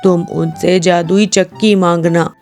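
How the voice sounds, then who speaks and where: A woman voicing a kindly old man in a cartoon story, gentle and instructive, over music. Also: on the low side, steady tone, unhurried, voice pushed down.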